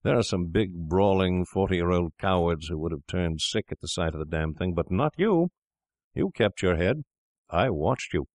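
A man voicing a smooth, flattering young male doctor who is tipsy, hearty and coaxing. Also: low-pitched, moderate pace, no room sound, studio quality.

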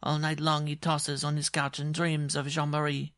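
Man acting shrill, pompous little circus dwarf. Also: monotone, normal speed, a bit bright, dry recording, studio quality. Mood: pleading, lovesick. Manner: florid, pompous declarations. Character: proud, touchy, lovestruck male circus dwarf, shrill.

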